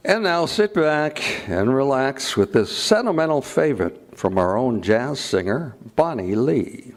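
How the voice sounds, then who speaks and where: A man's voice: mid-range voice, sing-song, measured pace, slight background noise. A man working as an announcer, reading scripted introductions and sponsor copy in an old-time radio style over music. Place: live clubhouse performance.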